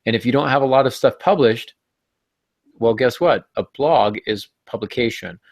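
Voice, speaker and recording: A man's voice: mid-range voice, moderate pace, no room sound, clean studio sound. A middle-aged man hosting a podcast in a casual, joking, tongue-in-cheek way. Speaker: podcast host.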